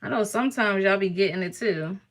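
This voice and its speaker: A woman's voice: mid-range voice, fairly quick. A woman from Harlem, chatting casually and teasingly with viewers on a live stream, laughing often. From New York (Harlem).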